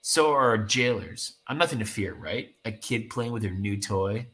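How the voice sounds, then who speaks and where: A man voicing an excited, earnest teenage girl superhero from a comic book, full of eager wonder. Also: lowish voice, moderate pace. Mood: plucky, confiding.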